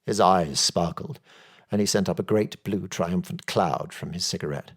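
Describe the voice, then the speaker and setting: A man's voice: sits low, normal speed, breathy edge. A man reading literary fiction aloud as a scripted audiobook narration. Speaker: audiobook reader.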